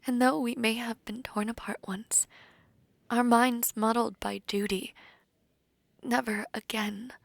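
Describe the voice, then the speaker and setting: A woman acting as a tender fantasy princess bride speaks softly and breathily, with heartfelt devotion. Her voice is lightly high and unhurried, recorded close and dry for romantic audio drama. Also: steady tone, vocal fry, dry recording. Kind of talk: scripted romantic audio roleplay.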